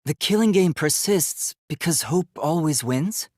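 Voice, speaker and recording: A man voicing an earnest, determined and idealistic young male robot in a dramatic video game, with a youthful, light, slightly husky voice and a formal, emphatic delivery. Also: on the high side, expressive, unhurried, a little mellow, no room sound, studio quality. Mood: confused, startled.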